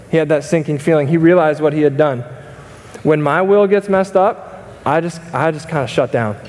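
A man's voice: fairly high pitch, darkish tone. A young man preaching a sermon from a church stage, earnest and conversational, with many fillers and restarts. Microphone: stage mic, wide camera. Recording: long reverb of a church hall.

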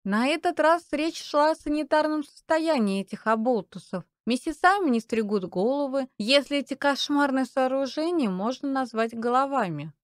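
A woman's voice: sits high, expressive, moderate pace, hardly any pauses, no echo, clean studio sound. A woman playing a fed-up, complaining mother of a schoolboy, scolding and exasperated, in a comic audio play.

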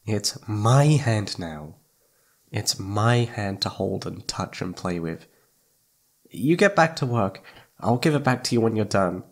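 A man's voice: lowish voice, animated, measured pace. A man playing a needy, playful young boyfriend in intimate ASMR roleplay, pouty and sweet, stammering when flustered.

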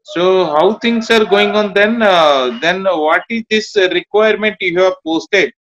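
A man from India, a job candidate, talking in a halting, repetitive way on a video call from a small indoor room. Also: high-pitched, fairly bright tone, a little hiss or hum. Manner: halting, repeats words. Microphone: phone or laptop camera, video call. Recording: muffled video call audio.

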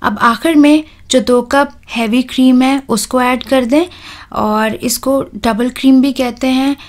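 A woman, hosting a home cooking channel and talking calmly through a recipe step by step, with soft "uh" fillers. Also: sits high, slow. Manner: calm, instructive, with soft "uh" fillers.

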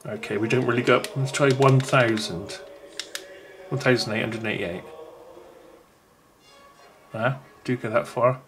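A chatty man talks his way through a gadget review at a table indoors, restarting his sentences often. His voice sits at a middle pitch for a man, and faint music plays behind him. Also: fairly even intonation, a little slow, pauses often, fairly bright tone. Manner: rambling, chatty, many restarts. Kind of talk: casual unboxing talk.

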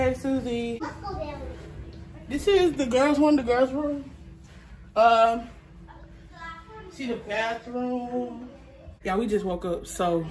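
A young woman with a fairly high voice chats through a casual walking house tour at a slow pace. She often repeats phrases and addresses her viewers directly. The phone sound is noisy and a little distant from her. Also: darkish tone. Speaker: vlogger giving a house tour. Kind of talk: casual handheld vlog tour. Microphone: handheld phone. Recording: roomy, noisy, voice off from mic.

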